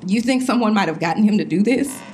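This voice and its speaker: Woman playing bereaved girlfriend for audio drama: stubborn, blunt, colloquial, highly animated delivery. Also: fast, a little hiss or hum, close to an everyday voice. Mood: defiant, upset. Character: grieving, defiant young woman questioned by police about boyfriend. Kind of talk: full-cast audio drama acting.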